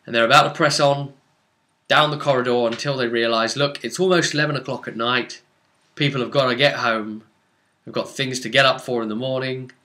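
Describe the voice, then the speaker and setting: Bright-voiced man, excitable game master. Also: medium pitch. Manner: excitable, repeats phrases for emphasis. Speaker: game master recapping a tabletop role-play session. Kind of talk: animated session recap storytelling.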